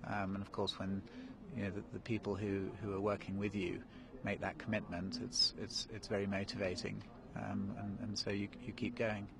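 Low-voiced man with halting speech. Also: completely flat delivery, gravelly, crowd noise, low-quality recording. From grew up in Kenya. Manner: hesitant, many ums and restarts. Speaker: interview guest, national park director. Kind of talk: live TV interview. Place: outdoors in snow. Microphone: handheld mic held by interviewer.

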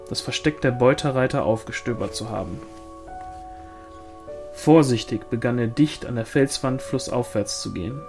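A man's voice: mid-range voice, a little mellow. Male audiobook reader, medium register, scripted fantasy narration over a music bed.

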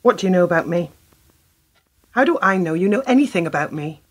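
A woman's voice: fairly low pitch, expressive, a little fast, breathy edge. An actress playing a wary, sardonic young working woman in a radio play, curt, dry and challenging. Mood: challenging, suspicious.

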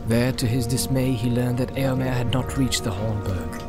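A man narrates an audiobook in a dramatized storytelling style. His voice sits at a middle pitch. Music plays clearly behind him. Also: moderate pace.

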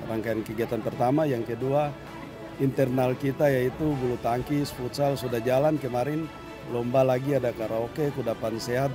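A man gives an interview outdoors, listing points methodically with filler sounds. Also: mid-range voice. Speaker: health office committee chair. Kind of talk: interview statement. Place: outdoors at a village health event. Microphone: handheld phones held up by reporters.

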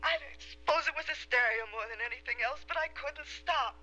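A woman's voice: sits high, normal speed, pushed and bright, dull audio. An actress playing a distraught woman who claims to be a murdered wife reborn, in an intense, confessional, dramatic screen style. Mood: haunted, anguished. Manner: intense, emotional, confessional delivery. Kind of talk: dramatic film or television acting.

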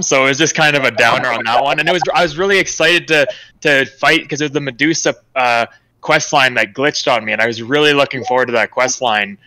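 A man's voice: medium pitch, sharp tone, dry recording, low-quality recording. A young man co-hosting a gaming podcast over a video call, chatting casually and rambling with fillers. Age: young adult. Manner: rambling, with many fillers and restarts. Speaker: podcast co-host.

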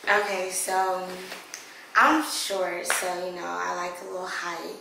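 A young woman with a raspy voice, speaking slowly and playfully as a blindfolded contestant on a blind date. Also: lowish voice, flat delivery, background noise. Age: young adult. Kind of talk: casual chat. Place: living room indoors. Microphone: far camera. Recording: echoey room from far off.

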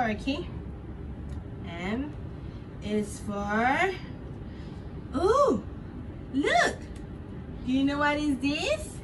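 A young woman with a Singaporean accent leads an interactive lesson for preschoolers, speaking in short, simple, encouraging phrases with exaggerated sing-song delivery. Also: high voice. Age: young adult. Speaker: preschool teacher at a children's gym. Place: indoor padded children's gym. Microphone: camera a few metres away. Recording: distant mic with clear room noise.

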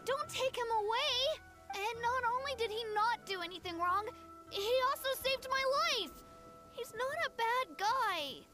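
Very high-pitched earnest little boy. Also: animated, measured pace, sharp tone, heavily acted. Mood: defiant, pleading. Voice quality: childlike. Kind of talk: anime game voice acting. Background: prominent game music.

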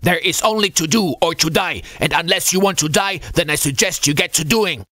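Gruff, barking old navy chief, pitched up. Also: male voice, fairly quick, pushed and bright, no echo, clean studio sound. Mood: barking, scolding. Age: older. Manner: barking, commanding, scolding. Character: gruff old navy chief petty officer, harsh but protective. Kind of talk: audiobook character dialogue.

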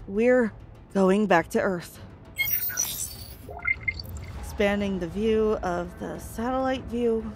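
A woman's voice: medium pitch, slow, pauses often. A woman playing a determined, exhausted survivor piloting an alien spacecraft in a sci-fi audio drama, commanding and urgent. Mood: tense, focused. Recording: dramatic music behind the voice. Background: music.

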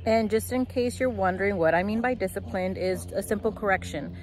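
A woman's voice: mid-range voice, fairly quick, a little hiss or hum. Chatty young woman vlogging outdoors. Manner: chatty, explaining with fillers and restarts. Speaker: homestead vlogger. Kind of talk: casual vlog talk to camera. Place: outdoors by a shed in a fenced yard. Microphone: phone held at arm's length.

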